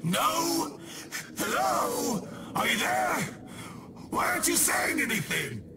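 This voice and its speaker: An actor voicing a scheming, short-tempered shadowy spirit who takes souls, theatrical and mock-polite, in a video game villain style. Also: male voice, very high voice, big pitch swings, slow, heavy background noise, full character voice. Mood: exasperated pleading.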